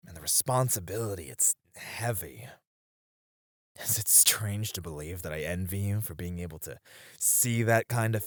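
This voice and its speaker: A weary warrior with a soft heart , acted by a man for an intimate fantasy romance roleplay. He speaks slowly and wistfully, with a teasing edge and a very expressive, swinging melody. The sound is dry and intimate. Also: low voice, dry recording. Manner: soft, wistful, with breathy chuckles and sighs. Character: weary young male warrior, tender, teasing sparring partner.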